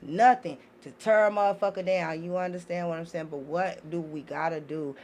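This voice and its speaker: A woman's voice: lowish voice, fairly even intonation, brisk, darkish tone, a little hiss or hum. A woman talking as a podcast guest in an impassioned, casual way, blunt, emphatic and given to swearing. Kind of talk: impassioned casual opinion talk.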